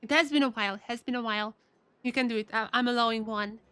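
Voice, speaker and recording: High-voiced, bright woman streamer. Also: quick pace, clear, resonant tone, no echo. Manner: chatty, excitable, repeats phrases. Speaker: video game streamer. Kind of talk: casual live gameplay commentary with reactions. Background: game music.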